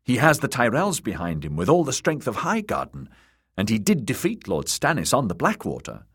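A man's voice: medium pitch, wildly swooping pitch, normal speed, a bit bright, slightly rough, voice pushed up, full character voice. A man voicing a timid, earnest young male scholar in an audiobook, hesitant and deferential in manner. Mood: anxious, urging. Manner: hesitant, nervous, stressing his words.

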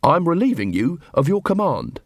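A man acting an earnest, cheerful young watch corporal in an audiobook, polite, brisk and by the book. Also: fairly high pitch, very animated, no echo, clean studio sound, voice pushed up. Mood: polite, firm.

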